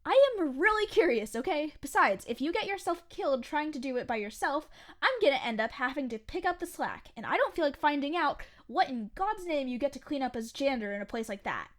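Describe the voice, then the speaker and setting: A young woman acting a jumpy, exasperated waitress in a comedic audio drama, blunt and quick to swear. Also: high voice, very animated, fairly bright tone. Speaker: restaurant server.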